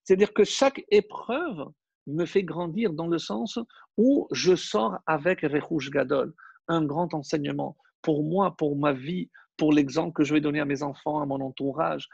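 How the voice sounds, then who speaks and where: Brief male rabbi, non-native French, husky gravelly voice, emphatic teaching, slightly high pitch, dull dry recording. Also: normal speed, a little mellow, dry recording. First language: not French. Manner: emphatic, addresses listeners directly. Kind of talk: Torah class.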